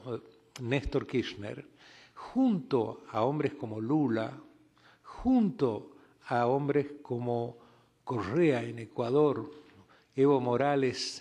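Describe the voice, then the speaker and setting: A man from Argentina with an Argentine accent, an ambassador speaking from the floor at a conference, measured and reflective with many pauses. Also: medium pitch, a little mellow, slightly rough. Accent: Argentine Spanish. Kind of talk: formal conference remarks. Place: large conference hall. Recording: reverberant conference hall.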